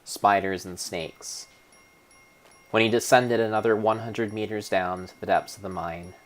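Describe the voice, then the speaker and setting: Male narrator, lowish pitch, for a mock-solemn dramatic reading; theatrical, deliberate storybook delivery. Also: fairly even intonation, low-quality recording. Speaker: narrator of a game playthrough.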